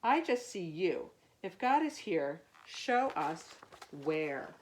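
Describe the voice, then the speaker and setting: Woman as storybook townsperson, doubting, puzzled, insistent, questioning, very varied pitch. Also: sing-song, takes it slowly, slight background noise.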